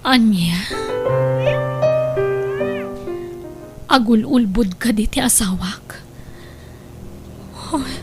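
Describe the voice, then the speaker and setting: A woman with an Ilocano accent playing a suspicious, hurt wife in an audio drama. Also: a little high. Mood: suspicious, anguished. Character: suspicious, hurt wife questioning her straying husband.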